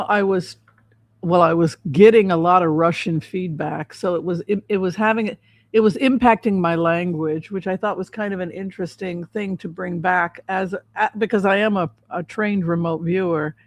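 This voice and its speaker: A woman hosting a casual livestream as a psychic reader, talking in a halting, repetitive way. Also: on the low side, steady tone, dry recording. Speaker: host, psychic tarot reader.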